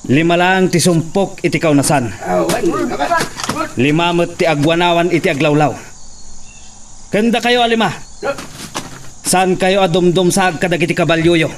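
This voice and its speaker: Man acting dutiful hired henchman, Ilocano accent, raised pitch, radio drama, light insect ambience. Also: moderate pace, long pauses, lightly acted. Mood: stern, urgent. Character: deferential armed henchman leading a night search. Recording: faint cricket and insect noise.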